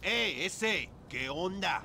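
An actor playing a cocky, taunting street tough with a Chicano accent in a video game, mixing in slang. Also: male voice, high voice, lively intonation, slow, vocal fry, bright, forceful tone, slight background noise, exaggerated voice. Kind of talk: video game cutscene acting.

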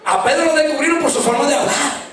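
A middle-aged man preaches with fiery conviction into a handheld mic. His voice sits very high for a man. The recording is heavily echoey and distant, as if the camera is at the back of a small church sanctuary. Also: fast talker. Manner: impassioned preaching, shouting at climaxes. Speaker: pastor. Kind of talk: sermon. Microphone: handheld mic, filmed from far.

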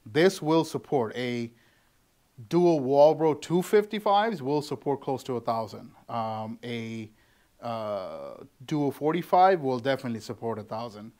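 A man's voice: mid-range voice, animated, a little slow, mellow. Guy in his 30s, mechanic, chill how-to walkthrough, lots of ums, camera right up close, small office. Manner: loose and conversational, with frequent ums and restarts. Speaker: tuning shop mechanic. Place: small office with bare walls, indoors. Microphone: handheld camera close to face.

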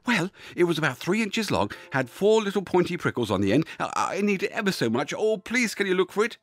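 Young hedgehog boy, played by a man: high, animated, polite, nervously pleading storybook voice. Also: fairly quick. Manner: pleading, stammering, breathless eagerness. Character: small young male hedgehog, polite, anxious, eager. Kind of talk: children's storybook character voice.

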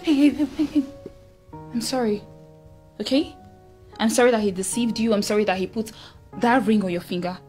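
An actress as a haughty, wealthy bride, slightly high voice, demanding; score music clearly behind the film scene. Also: female voice, moderate pace, long pauses, darkish tone, lightly acted. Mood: defiant. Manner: haughty, demanding, then pleading. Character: rich young bride, proud and defiant.

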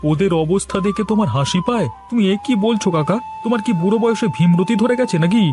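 A man's voice: high-pitched, quick pace, lightly acted. A man voicing a kind-hearted, sympathetic butcher in a cartoon story, earnest in manner. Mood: reproving. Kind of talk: Bengali cartoon story voice acting. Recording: music behind the voice.

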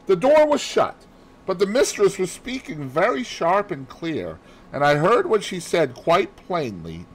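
A loyal, plain-spoken housemaid in an audiobook, acted out by a man. The voice is raised in pitch and moves up and down animatedly, with an emphatic but deferential manner. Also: big pitch swings, unhurried, a little hiss or hum, poor audio. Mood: earnest, respectful. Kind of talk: audiobook character voice.

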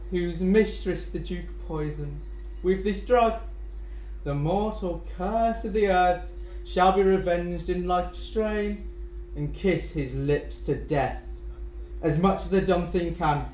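A high-voiced, mocking avenger played by a young man speaks old dramatic verse slowly, savouring his revenge. The room rings around his theatrical gloating. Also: a little mellow, slight background noise. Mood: gloating menace. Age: young adult. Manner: theatrical, gloating verse delivery with gleeful laughter. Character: gleefully vengeful brother, mocking, brandishing a poisoned skull. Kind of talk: Jacobean audio drama acting. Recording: roomy, echoing home recording.

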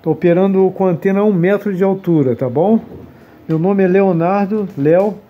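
A man's voice: high-pitched, moderate pace, muffled recording, slight background noise. A man, chatting casually and courteously as an amateur radio operator. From Brazil. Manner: friendly, courteous, repeating sign-offs. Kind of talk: casual radio contact talk.

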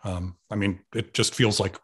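Serbian-born man, 50s, low husky voice, halting reflective interviewee on a video call. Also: fairly even intonation, a bit bright. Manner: hesitant, many restarts and repeated words. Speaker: guest artist. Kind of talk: thoughtful conversational interview. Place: home room with sofa.

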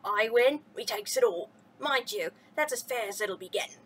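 A woman reading a storybook aloud, voicing a boastful male swamp pirate with rough dialect speech. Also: on the high side, animated, normal speed, fairly bright tone, a little hiss or hum, voice pushed down.

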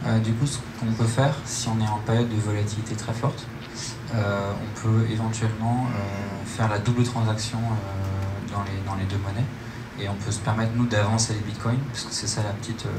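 A young man answers questions on stage in a low voice with very little melody. His phrasing is halting and informal. The hall is strongly echoey around him. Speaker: startup presenter answering audience questions. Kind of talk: informal Q&A presentation. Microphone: handheld microphone, recorded by camera in room. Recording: echoey hall, loud room noise.

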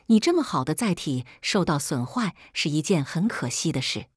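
A woman voicing a grave, caring male high priest who solemnly admonishes a pupil in an audiobook. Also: very animated, normal speed, husky, no room sound, studio quality, full character voice. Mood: earnest warning. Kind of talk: scripted audiobook narration.

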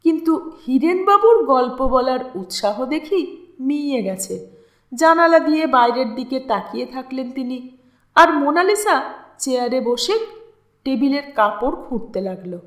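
A woman narrating a literary story in an expressive, deliberate, dramatic way with lingering pauses. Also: sits high. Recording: roomy, echoing sound.

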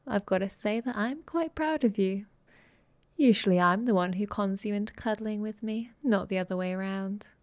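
A woman's voice: medium pitch, darkish tone, no room sound, close to an everyday voice. A woman playing a playfully dominant, affectionate vampire girlfriend in an intimate ASMR roleplay, cooing and teasing, often dropping toward a whisper. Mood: smug, affectionate.

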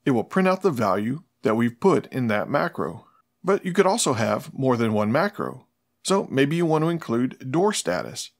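A middle-aged man, presenting a scripted tutorial in a plain-spoken, explanatory manner. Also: mid-range voice. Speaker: host of a smart home tutorial channel.

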